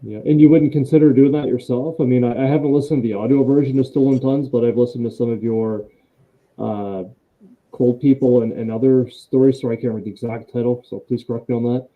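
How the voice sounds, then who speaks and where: Man, host, friendly and laughing, halting restarts, tinny phone-like sound. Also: medium pitch, fairly even intonation. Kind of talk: casual live interview chat.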